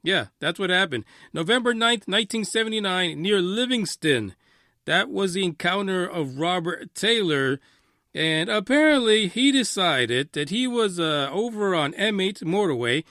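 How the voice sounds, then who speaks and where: A man's voice: sits high, moderate pace, dry recording, studio quality. A man hosting a casual live talk radio show, commenting on a story in an emphatic, often sweary way. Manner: animated, emphatic, frequently swearing.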